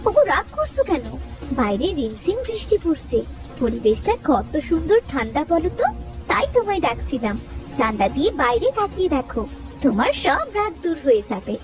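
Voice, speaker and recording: High, lively village wife. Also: female voice, brisk, soft background music, low-quality recording. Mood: coaxing. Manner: coaxing, expressive, homely. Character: caring, practical village wife and mother, gently coaxing. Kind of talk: Bengali moral-story cartoon voice acting.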